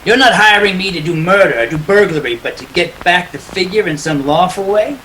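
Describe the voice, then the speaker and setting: A man's voice: sits high, fairly quick, bright, forceful tone, a little hiss or hum, rough recording. A man acting a wisecracking, cool private detective in a 1940s radio play, clipped and wry. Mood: wry, unruffled.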